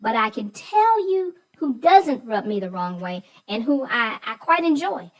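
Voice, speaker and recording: A woman with a distinctly high voice, co-hosting a relaxed discussion of a children's story. She is agreeable in conversation and leans on her words for emphasis. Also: a little slow, low-quality recording. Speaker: co-host. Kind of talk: casual chat about a children's story.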